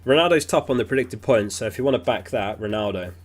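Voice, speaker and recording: A man hosting a casual livestream, reading viewer messages aloud in a relaxed, chatty way. He is sniffly and keeps clearing his throat. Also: mid-range voice, fast. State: sniffly, getting over an illness. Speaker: fantasy football livestream host.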